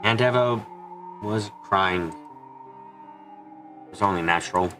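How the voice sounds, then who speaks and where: A man giving an earnest amateur dramatic reading of a visual novel, halting for dramatic pauses. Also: fairly low pitch. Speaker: amateur fan dubber. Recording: music behind the voice. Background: background music.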